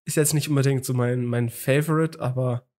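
A man's voice: mid-range voice, steady tone, fairly quick, darkish tone. A young man in his twenties co-hosting a casual podcast chat, telling a story with many fillers and restarts, hungover. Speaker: podcast co-host.

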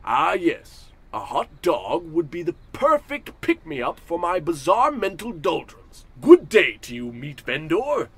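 A man's voice: on the high side, huge pitch swings, unhurried, hardly any pauses, breathy edge, no room sound, exaggerated voice. A man playing a pompous, cantankerous, scornful rich businessman in a comedic old-time radio play. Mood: haughty. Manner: pompous, grumbling to himself.

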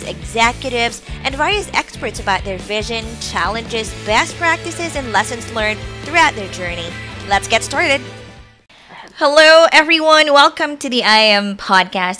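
A woman's voice: fairly high pitch, sharp tone. A woman hosting a podcast over a video call, enthusiastic and emphatic as she welcomes her guest. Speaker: podcast host. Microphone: studio mic on a video call.

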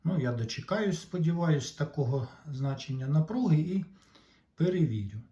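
A man giving an informal, unscripted repair walkthrough as a hobbyist, explaining haltingly and pausing mid-sentence. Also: on the high side, lively intonation, warm tone. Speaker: repair hobbyist presenting a demonstration. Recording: dull lo-fi sound.

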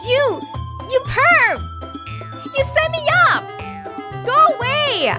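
Visual novel tsundere schoolgirl, voiced by a woman: very high, indignant, flustered, big swings. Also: very animated, takes it slowly, loud music behind the voice, full character voice. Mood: outraged, flustered. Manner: shrill, indignant. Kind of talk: visual novel character voicing.

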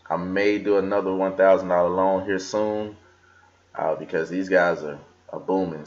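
A young man casually talking through a screen recording, with many fillers and restarts as he scrolls and reads aloud. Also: low-pitched. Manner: casual, filler-heavy, talks while scrolling and reading aloud. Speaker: crypto vlogger. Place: indoors at a desk. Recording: slightly dull lo-fi computer audio.

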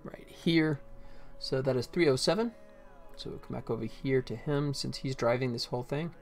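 A man's voice: medium pitch, normal speed. A man in his forties, a game developer, casually narrating his work on a livestream, often muttering to himself. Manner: muttering to himself in short bits. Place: small indoor room at a computer. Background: faint music, keyboard typing.

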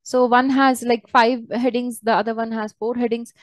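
High-pitched woman giving software tutorial. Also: flat delivery, moderate pace, no echo. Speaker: software trainer. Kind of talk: screen-share software tutorial, unscripted walkthrough.

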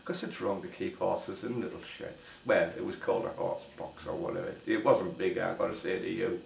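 An older man with a cold-hoarsened voice, telling a rhyming spoken-word story to camera in a sing-song way, seated on a sofa in a small living room. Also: low voice, steady tone, a little fast. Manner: sing-song rhyming delivery with a repeated tag. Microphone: camera at a distance. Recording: roomy, distant, dull-sounding home video.

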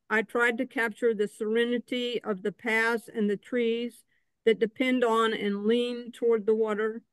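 A man's voice: very high voice, a bit bright, clear, resonant tone, no room sound, poor audio. An older man giving a prepared, earnest talk about his own photography over a video call. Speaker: exhibiting photographer. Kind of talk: prepared speech introducing own work.

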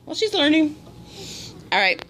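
A woman's voice: high voice, big pitch swings, a little slow, very noisy. A woman filming a casual cooking video at home, patiently encouraging and instructing her small child step by step. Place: indoor home room at a table.

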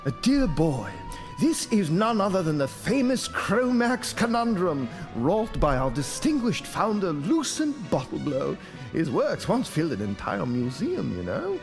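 A fussy, melodramatic guildsman from an adventure game. His voice is high and extremely animated, full of effusive theatrical flourishes, with music playing clearly behind it. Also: measured pace, full character voice. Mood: proud. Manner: theatrical, effusive, repeats phrases for emphasis. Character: fussy, melodramatic glass-making guildsman, proud and lamenting. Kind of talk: video game character dialogue.

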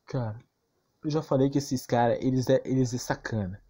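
A man's voice: mid-range voice, normal speed, warm tone, breathy edge. A man with a Brazilian accent giving informal game commentary, arguing insistently and repeating himself with plenty of slang. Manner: argumentative, insistent, repetitive, slangy. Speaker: gaming YouTuber.

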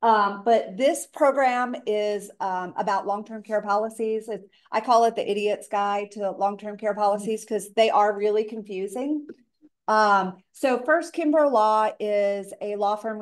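Female seminar presenter, mid-pitched, raspy from a lingering cold, casual and chatty. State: tail end of a cold. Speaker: presenter, elder care coordinator.

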